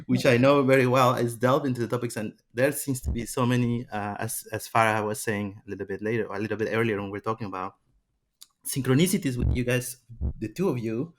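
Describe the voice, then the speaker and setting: A man's voice: mid-range voice, a little fast, slight background noise. A man hosting a podcast, talking in a loose, rambling way with many restarts. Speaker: podcast host. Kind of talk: casual podcast chat.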